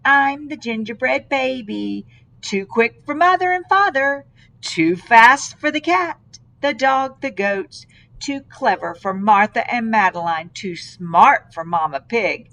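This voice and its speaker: Woman voicing a cocky little gingerbread boy, higher-pitched. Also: expressive, slow pace, creaky, fairly bright tone, heavily acted. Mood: taunting. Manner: boastful, singsong taunting.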